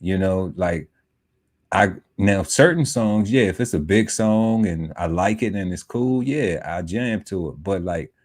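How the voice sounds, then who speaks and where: A man in his forties chatting casually on a podcast panel, talking in a loose, laid-back way with many fillers. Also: low voice. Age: 40s. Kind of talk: casual chat. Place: home podcast setup.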